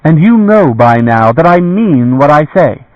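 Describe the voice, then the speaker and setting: A commanding noblewoman, still and clear, giving orders without warmth, as a male narrator puts her on with a raised voice. Her lines come across a touch louder than the narration, and the audio is dull and dry. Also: fairly high pitch, very animated, measured pace, dry recording, voice pushed up. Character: cold commanding tall woman warrior-lady.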